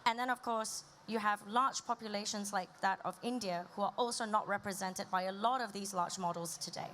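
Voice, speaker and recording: A woman from Malaysia with a British accent, speaking as a panelist and explaining her points in a measured way. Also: medium pitch, fairly bright tone, a little hiss or hum. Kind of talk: conference panel talk.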